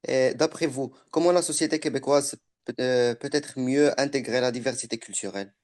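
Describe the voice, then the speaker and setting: A man asks interview questions for a school project. He stumbles through them with fillers and repeated words. His voice sits at a middle pitch. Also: a little fast, a little mellow. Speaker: student interviewer.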